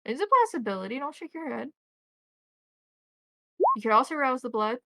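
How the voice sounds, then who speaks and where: A woman narrating a tabletop roleplaying game as game master, describing scenes in deliberate detail. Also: sits high, big pitch swings, bright, forceful tone, dry recording.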